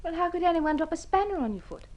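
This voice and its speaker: An actress playing a devoted, anxious young wife in an old radio drama, with an expressive, earnest delivery. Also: female voice, very high voice, fast. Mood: flustered, insistent.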